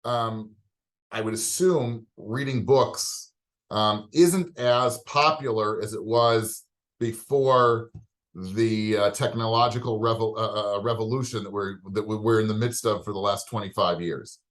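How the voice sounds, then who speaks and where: A man hosting an interview, asking questions in a halting way as he searches for words. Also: fairly low pitch, a bit bright. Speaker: interview host.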